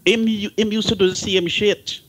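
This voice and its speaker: A man's voice: sits high, steady tone, measured pace, low-quality recording. A man with a Jamaican accent speaking in an opinionated radio discussion, emphatic and often repeating words for stress.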